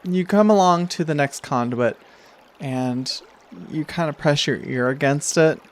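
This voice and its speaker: Male game master, medium pitch, halting improvised narration over faint noise. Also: creaky. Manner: measured, halting second-person narration. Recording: steady noise behind the voice.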